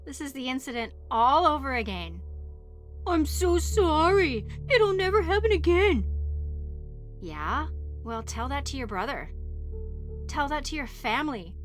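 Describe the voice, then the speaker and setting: A furious young coven witch, acted by a woman, high-pitched and drawn-out in her sarcasm. She is scornful and swears freely, an audio drama villainess with loud music underneath. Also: very animated. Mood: bitter sarcasm. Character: angry, mocking young female celestial witch of a coven.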